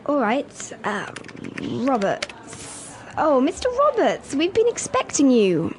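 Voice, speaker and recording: A woman reading scripted dialogue parts for an English listening lesson, speaking clearly and carefully. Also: high-pitched, huge pitch swings, slow, background noise, full character voice. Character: woman calling in sick, then a polite hotel receptionist. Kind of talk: scripted role-play dialogue.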